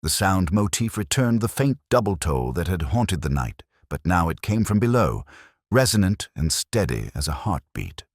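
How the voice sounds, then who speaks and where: A man reading a Victorian detective mystery as a measured, literary first-person story. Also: sits low, big pitch swings, no room sound, clean studio sound. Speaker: audiobook narrator.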